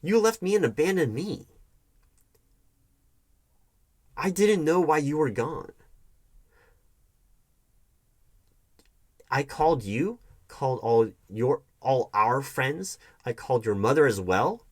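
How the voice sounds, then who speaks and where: A man playing a defensive, wounded hiring manager arguing with his ex in a conversational audio roleplay, halting and often throwing questions back. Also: mid-range voice, lively intonation, natural voice. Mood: hurt, flustered. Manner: defensive, halting, repeats the other's accusations as questions. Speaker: roleplay performer. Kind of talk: one-sided audio roleplay, conversational acting.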